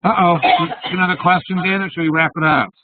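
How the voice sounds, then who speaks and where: A man's voice: high voice, fairly even intonation, quick pace, no echo. A man hosting a webinar, moderating questions in a loose, joking way. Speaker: webinar host. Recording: tinny video call, a little distant.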